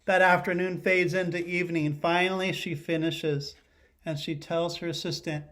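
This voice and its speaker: A middle-aged man, a teacher, reading a children's picture book aloud expressively, pausing to put questions to his listeners. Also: on the high side, little pitch movement, a bit bright. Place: living room at home.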